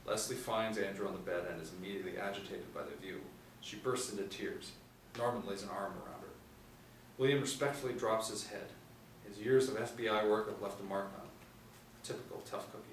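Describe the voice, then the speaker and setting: A middle-aged man narrates a screenplay in a fairly low voice. He is recorded from some distance in a roomy space, with faint background noise. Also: steady tone, brisk. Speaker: narrator reading stage directions. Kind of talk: scripted narration. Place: small indoor room, seated.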